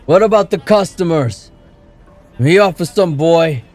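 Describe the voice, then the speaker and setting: A man's voice: on the high side, expressive, measured pace, a little mellow, soft background music, voice pushed up. A man voicing a drunk, abusive father who thunders curses. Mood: furious. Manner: thundering, cursing.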